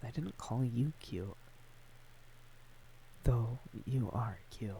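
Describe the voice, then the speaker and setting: A man playing a shy, tender, awkward young man, a friend's older brother, in an intimate ASMR roleplay, speaking softly and hesitantly with a stammer. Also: medium pitch, extremely slow, a little hiss or hum, low-quality recording. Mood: teasing, tender. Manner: hesitant, stammering, gently teasing.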